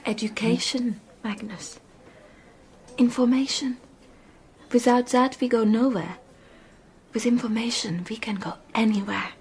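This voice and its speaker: An actress playing an earnest, gentle refugee woman teacher in a radio drama, speaking in a solemn, hushed, instructive way. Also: a little high, fairly even intonation, unhurried, long pauses, a little hiss or hum. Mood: solemn, intent.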